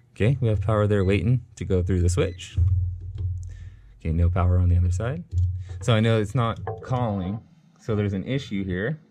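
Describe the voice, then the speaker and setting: Low-voiced man talking through a demo. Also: darkish tone. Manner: casual, step-by-step, thinking aloud with fillers. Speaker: HVAC technician instructor. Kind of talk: hands-on troubleshooting demo. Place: workshop bench with a test board.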